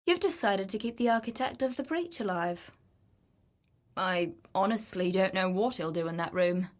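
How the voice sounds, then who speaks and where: A woman's voice: mid-range voice, animated, normal speed, close to an everyday voice. An actress playing an adventurous, greedy woman treasure hunter in a video game, confident, wry and theatrical. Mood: wry, practical. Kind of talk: video game character voice lines.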